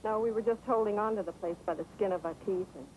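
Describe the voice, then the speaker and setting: A woman's voice: mid-range voice, fast, mellow, slight background noise, close to an everyday voice, poor audio. An actress playing a worried, weary American wife and mother in a 1950s TV drama. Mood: subdued, polite.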